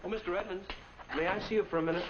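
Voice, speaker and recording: A young man playing an anxious, earnest, polite high school boy in a 1950s instructional film, speaking deferentially. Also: steady tone, rapid-fire, close to an everyday voice. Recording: muffled, noisy 1950s film soundtrack.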